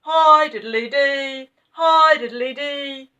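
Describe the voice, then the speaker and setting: A woman's voice: high-pitched, slow, lo-fi sound, pitched above the performer's own voice, exaggerated voice. A woman voicing a tall, eccentric, brusque man in a storybook who bellows in a sing-song, oblivious way. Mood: singing loudly. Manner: loud, sing-song, dismissive.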